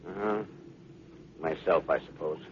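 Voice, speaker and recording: An actor playing a seasoned, middle-aged American starship captain in a 1950s radio drama, brisk and commanding, with a gruff but fair temper. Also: male voice, a bass voice. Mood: grave. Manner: brisk, commanding, clipped orders. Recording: muffled, hissy 1950s radio recording.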